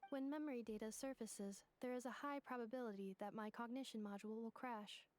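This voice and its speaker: A woman voicing a polite, literal-minded female robot in a video game, with formal, clinical, measured phrasing. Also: fairly high pitch, fairly even intonation, a little mellow, no room sound, lightly acted.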